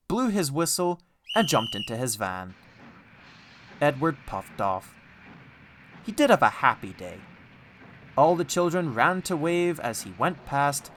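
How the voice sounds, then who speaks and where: A man's voice: on the high side, a bit bright, a little hiss or hum. A man reading scripted children's storybook narration as an audiobook narrator.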